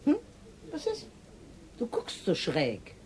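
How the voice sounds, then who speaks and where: An actress playing a wry, teasing middle-aged police chief inspector in a radio drama, speaking in a dry, colloquial, mocking way. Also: female voice, lowish voice, huge pitch swings, slow pace, a little mellow, heavily acted. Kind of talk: naturalistic radio drama dialogue.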